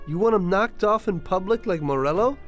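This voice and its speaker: A man's voice: sits high, animated, brisk, faint music behind. An actor playing a weary, uneasy but loyal Mafia gunman in a video game, plain-spoken and understated. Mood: hesitant, uneasy. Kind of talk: scripted video game dialogue.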